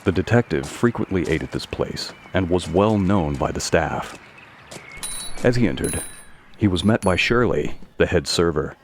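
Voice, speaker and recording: Low-voiced male story narrator. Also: animated, moderate pace, slight background noise. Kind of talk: scripted narration of a mystery story. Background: faint music.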